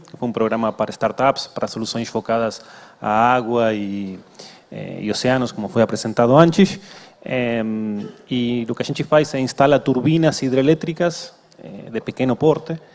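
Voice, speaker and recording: A man's voice: mid-range voice, a little mellow. A man with an Argentine Spanish accent, a native Spanish speaker, introducing himself on a conference panel in a friendly, joking way with hesitant fillers. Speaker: startup CEO panelist. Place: conference hall. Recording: long hall reverb.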